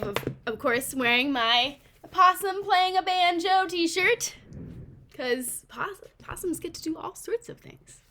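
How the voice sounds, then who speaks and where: A woman reads a children's novel aloud as its author. Her delivery is animated and informal, and her pitch swings widely as she goes. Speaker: author reading her own book aloud.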